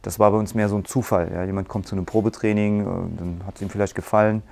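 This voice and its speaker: A man in his forties, a martial arts school owner, earnestly giving a testimonial on a lapel mic in a bright room. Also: lowish voice, flat delivery, fast, creaky. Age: 40s. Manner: earnest, explains at length with restarts. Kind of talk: testimonial interview. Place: bright room with curtains, standing.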